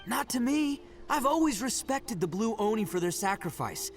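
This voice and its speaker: A man's voice: very high-pitched, very animated, moderate pace, full character voice. An actor playing a brash, boastful young male oni gang leader who laughs often and talks in slang, in a video game. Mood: earnest. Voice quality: loud, rowdy and cheerful. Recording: game audio with music behind.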